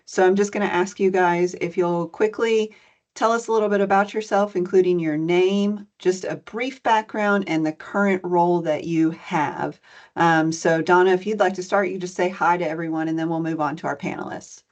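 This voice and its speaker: Lady running an online panel, lowish voice, muffled call audio, lots of ums. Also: steady tone, moderate pace, a little mellow. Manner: welcoming, methodical, with frequent fillers. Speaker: program director and panel moderator. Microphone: video call.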